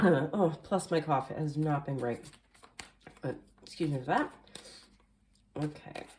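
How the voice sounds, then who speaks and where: Low-voiced chatty woman reading tarot. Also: slow, a little hiss or hum. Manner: chatty, rambling with many fillers, occasional coughs. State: slightly nasal and hoarse from allergies. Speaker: tarot reader. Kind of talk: casual tarot card reading. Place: indoors at a table at home. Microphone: camera facing the table, speaker mostly off screen.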